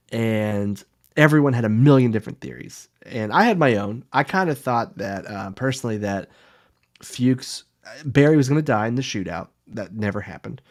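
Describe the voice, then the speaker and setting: A man with a mid-pitched voice talks as a podcast co-host. His delivery is casual and conversational, with an informal, slightly halting flow. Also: a little mellow. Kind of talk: casual chat.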